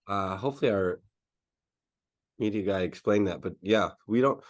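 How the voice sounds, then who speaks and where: A man with a fairly low voice, originally from the Philippines, is a guest on a podcast. He speaks in a casual, informal way with some hesitation. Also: moderate pace. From Philippines, moved to California as a child. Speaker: podcast guest, mobile home renovation business owner. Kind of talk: casual chat.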